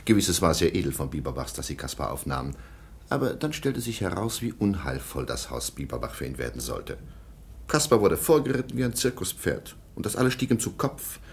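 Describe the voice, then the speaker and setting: An actor playing a stern middle-aged baron and guardian in a radio play, formal and disapproving. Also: male voice, low voice, sing-song, a little fast.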